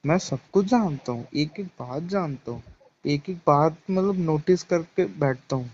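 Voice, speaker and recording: A man's voice: a little high, moderate pace, darkish tone, natural voice. A young man playing a jealous, accusing ex-boyfriend in a staged phone call, insistent and repeating himself. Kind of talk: staged phone-call conversation in casual Hindi. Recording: dull lo-fi phone-call sound.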